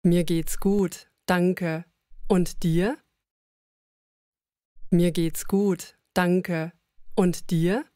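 A woman reading lines of a slow scripted conversation for language learners, repeating each sentence. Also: on the low side, fairly even intonation, dark tone, no echo, studio quality. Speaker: language lesson voice.

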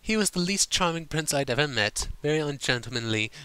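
Man acting a refined female pony, polite, tactful, bright lively delivery, storybook character. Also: fairly high pitch, fairly quick, smooth, no room sound, voice pushed up. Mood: prim, indignant. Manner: polite, proper, careful, flustered. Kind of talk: fan fiction audiobook character voice.

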